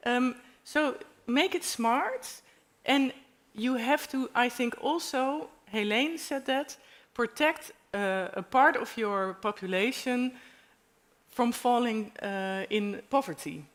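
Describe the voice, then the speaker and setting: A woman's voice: fairly high pitch, a bit bright. Dutch-accented woman, emphatic panelist. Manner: emphatic, fast, searches for English words. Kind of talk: panel discussion answers. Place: stage at a university event.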